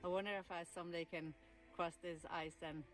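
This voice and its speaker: A woman from Bergen with a Norwegian accent introducing herself in friendly, slightly halting English. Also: lowish voice, little pitch movement, low-quality recording. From Bergen, Norway. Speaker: expedition member. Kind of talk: self-introduction to camera. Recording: voice over background music.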